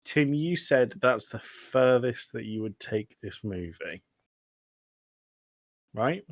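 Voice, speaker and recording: A man's voice: medium pitch, expressive, takes it slowly, no room sound, clean studio sound. A man hosting a casual film review podcast, sweary and dryly joking. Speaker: podcast host.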